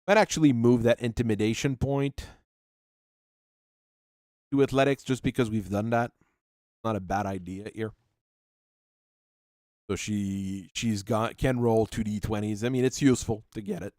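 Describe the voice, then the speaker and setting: A man hosting a channel, working through tabletop character creation while thinking aloud and reading rules out, with halting pauses. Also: lowish voice, fairly even intonation, darkish tone, no room sound, studio quality. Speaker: channel host.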